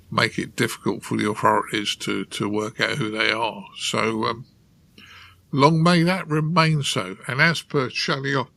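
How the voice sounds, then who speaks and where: A man's voice: medium pitch, vocal fry, bright, forceful tone. A man hosting a podcast panel in casual conversation. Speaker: podcast host.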